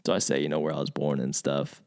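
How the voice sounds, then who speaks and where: A man who grew up in Virginia, co-hosting a podcast in relaxed conversation, thoughtful and full of restarts and asides. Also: rumbling low, fast talker, creaky. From United States, grew up in Virginia. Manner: thoughtful, many restarts and asides, animated when quoting. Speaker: podcast co-host. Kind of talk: casual conversational chat.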